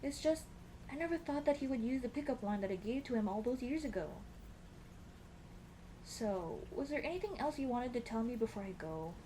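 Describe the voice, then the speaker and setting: A woman plays a tomboy childhood friend, young and smitten, in an intimate ASMR roleplay. Her voice sits fairly high and swings widely in pitch as she moves between playful teasing and bashful hesitation. The talk is casual and affectionate, over faint background noise. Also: animated, fairly quick, natural voice. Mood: embarrassed, fond. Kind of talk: ASMR roleplay acting, intimate girlfriend scene.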